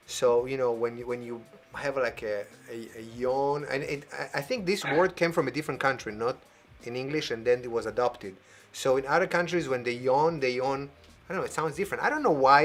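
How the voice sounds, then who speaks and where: A man hosting a live variety show over a video call, playful and animated, laughing as he talks. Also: mid-range voice. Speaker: host.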